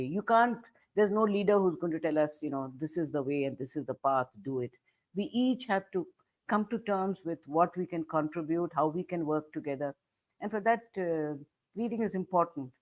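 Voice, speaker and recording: An older woman author answering questions in an online book talk, blunt and measured as she thinks aloud. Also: fairly low pitch, a little mellow. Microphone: video call.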